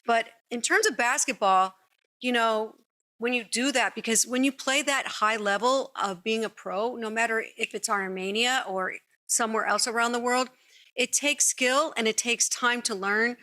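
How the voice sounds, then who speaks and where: Middle-aged woman podcast host, enthusiastic and rambling, crisp bright tone, on a studio mic at home. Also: on the high side, normal speed. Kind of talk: casual interview. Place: living room with sofa. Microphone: studio mic on boom arm.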